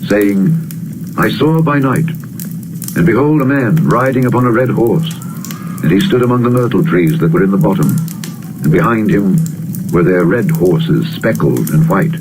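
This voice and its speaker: A man with a fairly low voice narrates scripture solemnly, with formal, declamatory phrasing and a measured delivery. Heavy, constant crackle of fire and rain runs behind his reading. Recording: steady loud crackling fire and rain noise. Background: crackling fire and soft rain.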